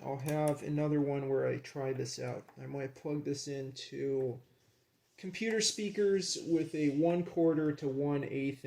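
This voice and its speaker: A man casually narrating as he works with his hands, in a creaky voice, absorbed and admiring, dropping murmured asides. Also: a little high, warm tone. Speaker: hobbyist demonstrating a radio rebuild.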